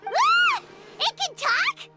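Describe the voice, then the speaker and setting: A woman voicing a small, excitable, easily offended childlike companion creature in an anime game. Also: very high-pitched, extremely slow, loud music behind the voice, heavily acted. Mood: indignant, startled. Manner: speaks of self in third person, indignant, exclaiming.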